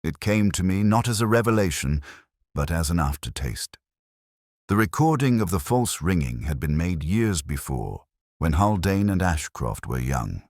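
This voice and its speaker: A man reading a scripted first-person detective story in a measured, brooding, reflective way. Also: low voice, very animated, darkish tone, no echo, clean studio sound. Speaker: narrator.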